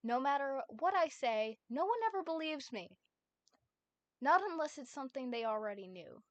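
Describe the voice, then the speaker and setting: A woman streamer voicing a frightened, desperate teenage boy who is never believed, with pleading, rushed outbursts. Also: high voice, animated, no room sound, dull audio. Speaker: streamer reading a fanfic aloud. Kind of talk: dramatic fanfic reading.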